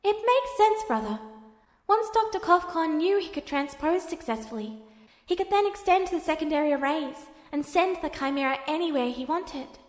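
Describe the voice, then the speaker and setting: A woman voicing a gentle, anxious, soft-spoken young boy in a suit of armor in a story reading. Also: extremely high pitch, lively intonation, moderate pace, reverberant, big-room sound, pitched above the performer's own voice. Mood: earnest.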